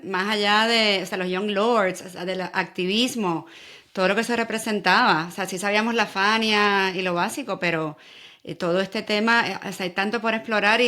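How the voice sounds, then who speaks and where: A Puerto Rican woman in her thirties hosting a podcast, chatting casually and telling her story with quick enthusiasm. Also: medium pitch, pushed and bright. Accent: Puerto Rican Spanish. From Puerto Rico. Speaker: podcast host. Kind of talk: casual interview chat.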